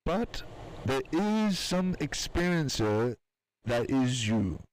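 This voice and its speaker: Guy acting as a philosophical scientist, audio drama, calm measured explaining, bit of noise behind him. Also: mid-range voice, low-quality recording. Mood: musing, intent. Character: thoughtful male scientist, measured, philosophical, quietly compassionate.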